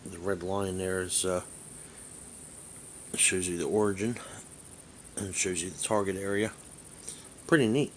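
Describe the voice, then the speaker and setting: A man giving a casual hands-on demonstration as a hobbyist vlogger, halting and rambling as he thinks aloud. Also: low-pitched, fairly even intonation, slight background noise.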